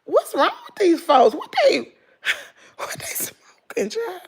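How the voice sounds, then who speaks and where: A middle-aged woman with a Southern American accent, hoarse, chatting casually and gossiping with her viewers in a dramatic way. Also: very high-pitched, very animated, takes it slowly. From Southern United States. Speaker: vlogger.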